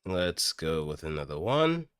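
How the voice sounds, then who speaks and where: A man's voice: a bass voice, very animated, unhurried, fairly bright tone, smooth, dry recording, studio quality. A man chatting casually and self-critically to himself while practicing voice acting on stream. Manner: self-critical, thinking aloud. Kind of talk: casual self-directed chat.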